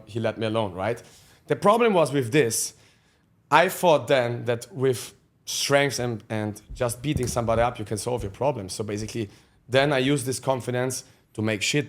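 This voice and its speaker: A man. He is a podcast guest telling his life story candidly in blunt, profane English with loose grammar. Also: medium pitch. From Austria. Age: 30s. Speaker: guest, fight coach.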